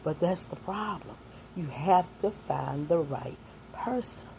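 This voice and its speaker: A woman's voice: sits low, expressive, slow pace, gravelly, a little mellow, slight background noise, poor audio. A woman in her sixties, a single mother and grandmother, giving young people earnest, folksy life advice that mixes faith with plain street talk.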